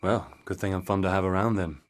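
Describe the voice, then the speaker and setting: A man's voice: low-pitched, fairly even intonation, fast, close to an everyday voice. An actor voicing an easygoing, joking male handler in a game cutscene. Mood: wry. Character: wry male handler speaking over comms. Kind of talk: video game cutscene acting. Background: background music.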